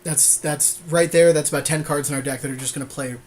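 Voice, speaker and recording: A man's voice: a little high, steady tone, quick pace, a little mellow. A man co-hosting a podcast, chatting casually and agreeably as he explains card game choices. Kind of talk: casual conversational chat.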